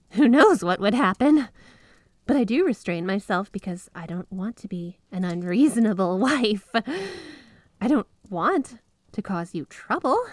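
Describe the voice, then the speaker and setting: A woman's voice: fairly low pitch, no echo. A woman playing a flirty, obsessively devoted yandere wife in an ASMR roleplay, speaking in a sweet, clingy, gushing way. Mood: jealous, playful, then wistful, possessive. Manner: sweet, clingy, breathy giggles, gushing affection. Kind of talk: ASMR roleplay, addressing the listener as spouse. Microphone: close studio microphone, dry.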